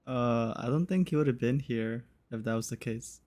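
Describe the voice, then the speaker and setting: A man's voice: medium pitch, moderate pace, darkish tone, faint music behind. A young man giving casual, slangy, jokey commentary as he plays a game, swearing freely. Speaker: game streamer.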